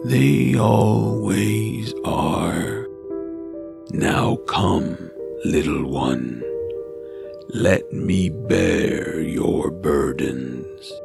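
A man playing a huge, sly man-eating rock monster in an audio drama, gravelly, halting and solemn, with a falsely tender coaxing manner. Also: deep voice, music in the background, full character voice. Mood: sinister, falsely mournful.

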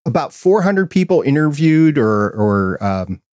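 A man's voice: on the high side, very animated, measured pace, a little mellow, no room sound, studio quality. A man hosting a podcast interview in a casual, conversational way, phrasing his thoughts with deliberation. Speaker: podcast host.

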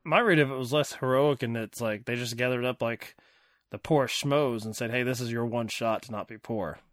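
A male host steering a loose film discussion, with a mid-pitched voice and a wry, rambling delivery. He laughs easily between his thoughts. The sound is dry and close, with no room around it. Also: moderate pace, no echo. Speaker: podcast host. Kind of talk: casual movie-review chat.

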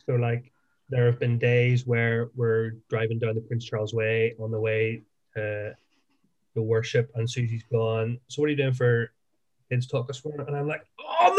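Northern irish minister guy, mid voice, bit muffled lo-fi audio, rambly. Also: medium pitch. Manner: reflective, self-deprecating, rambling. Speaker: minister, podcast co-host. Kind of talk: casual podcast chat.

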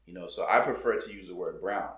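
A man's voice: sits low, fast, a bit bright, echoey room. A man from Boston, a guest on a casual podcast, talking in a loose, reflective way with many fillers. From Boston, United States. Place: coworking room.

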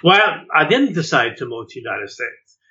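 A man's voice: mid-range voice, sing-song, moderate pace, pushed and bright, lo-fi sound. A man in his seventies from Sweden, a jazz clarinetist telling his story in an interview, hesitant with many fillers and repeated words.

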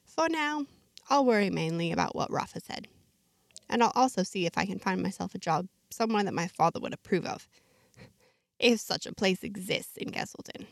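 A woman's voice: medium pitch, lively intonation, creaky, no echo. Woman narrating a story, dry and close. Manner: measured, reflective diary-like delivery. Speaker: storyteller narrating in first person. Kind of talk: scripted fiction narration.

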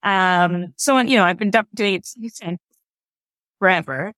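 A woman's voice: medium pitch, unhurried, fairly bright tone, no room sound, studio quality. A woman speaking as an interview guest, a theater director talking conversationally.

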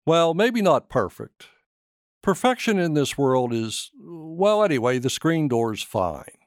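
A man acts out an earnest, fond father in an audio story. His speech is animated, with a lift above plain narration, and the sound is close and dry. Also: on the high side, slow pace, dry recording, heavily acted. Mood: fatherly, gentle.

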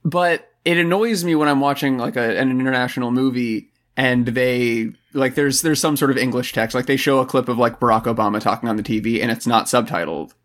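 A man's voice: mid-range voice, brisk, few pauses, a bit bright. A man co-hosting a casual comedy chat, joking in a wry, dry way. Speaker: podcast co-host.

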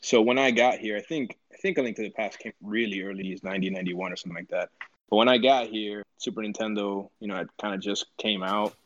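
Man from Peru in his thirties, lowish voice, dull lo-fi audio, chatty. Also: fast, a bit bright. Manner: loose, chatty, swears casually. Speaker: podcast co-host. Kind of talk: casual chat. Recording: dull lo-fi sound.

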